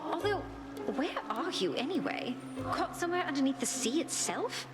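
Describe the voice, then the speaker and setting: High, cooing, glamorous goddess. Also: female voice, very animated, moderate pace. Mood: cooing, teasing. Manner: cooing, theatrical. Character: flirtatious, teasing, glamorous goddess. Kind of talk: game character acting. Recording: music behind.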